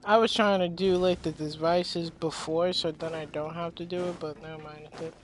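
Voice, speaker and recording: A woman's voice: lowish voice, steady tone, moderate pace, a little hiss or hum. A female gamer giving casual, informal commentary and muttered reactions while she plays. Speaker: video game streamer. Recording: faint game music behind.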